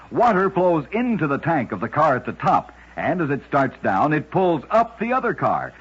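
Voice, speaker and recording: A man narrating strange true stories in a scripted old-time radio style, with a dramatic, measured delivery. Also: a little high, slightly rough. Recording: dull, lo-fi old radio recording.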